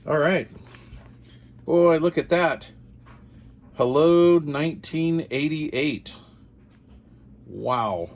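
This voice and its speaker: A man's voice: a little high, very slow, long pauses. A man hosting a casual product unboxing, talking informally and musing aloud in short phrases. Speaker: host of a radio gear channel.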